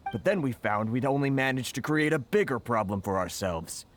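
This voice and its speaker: An actor playing a weary, courteous and aggrieved merchant in a video game, articulate and full of complaint. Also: male voice, mid-range voice, animated, moderate pace, soft background music, lightly acted. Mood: frustrated. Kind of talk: video game cutscene acting.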